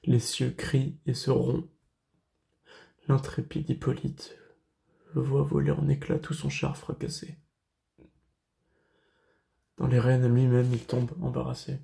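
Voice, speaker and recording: A man acting a grieving, solemn tutor in a classical French verse tragedy, declaiming in measured phrases with dramatic pauses. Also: medium pitch, flat delivery, creaky, warm tone. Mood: horrified. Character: grieving male tutor recounting a horror, solemn. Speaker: actor. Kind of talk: classical French verse tragedy monologue, self-tape audition.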